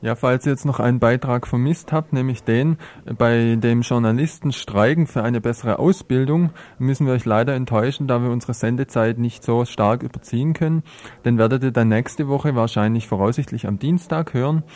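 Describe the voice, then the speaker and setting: A man's voice: mid-range voice, a little fast, darkish tone. A man hosting a radio news show, presenting live and informally.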